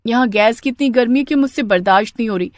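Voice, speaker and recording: A woman's voice: on the high side, fast talker, no room sound, clean studio sound, close to an everyday voice. A woman voicing a young daughter-in-law, pleading and justifying herself in a family story. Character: young new daughter-in-law, pleading, unashamed and heat-weary.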